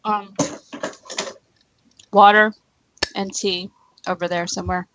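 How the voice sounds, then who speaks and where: A woman co-hosting a live-streamed chat, throwing in quick, chirpy quips. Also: mid-range voice, little pitch movement, dull audio, noisy background. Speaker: co-host. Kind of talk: casual chat.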